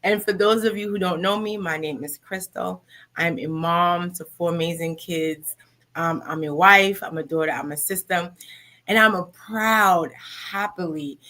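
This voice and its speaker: A woman's voice: on the low side, moderate pace, a bit bright. A woman in her thirties hosting a live talk show in an upbeat, motivational way, often adding "okay?". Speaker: host.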